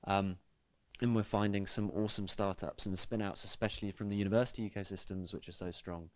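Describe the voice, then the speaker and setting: A man from the United Kingdom talks with enthusiasm on a panel, scattering ums through his speech. His voice is very deep and stays flat in pitch. The recording is dry and close. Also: a little fast, no echo. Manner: enthusiastic, filler-heavy with many ums. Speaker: panel guest. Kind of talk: panel comment.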